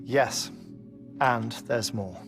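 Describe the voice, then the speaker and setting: A man playing a learned scientist in a video game, speaking in a measured, lecturing way with pointed pauses. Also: mid-range voice, creaky, over music. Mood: calm, probing. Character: learned, measured male scientist explaining grave theories. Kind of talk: cinematic video game dialogue.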